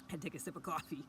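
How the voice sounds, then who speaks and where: A woman talking casually to camera in a hushed early-morning voice, recording outdoors by a fire pit with a mountain view. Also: deep voice. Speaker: vlogger. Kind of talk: casual reflective talk to camera. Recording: outdoor ambience with background noise.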